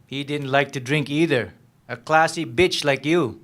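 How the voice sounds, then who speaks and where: An actor playing a menacing, volatile lieutenant who taunts and needles with abrupt questions in a dramatic reading of a novel. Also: male voice, on the high side, unhurried, a bit bright. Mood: menacing, angry.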